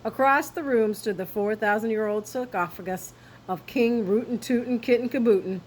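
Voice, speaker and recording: A woman storyteller reading a children's story aloud with expressive, theatrical emphasis. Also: fairly high pitch, moderate pace, low-quality recording.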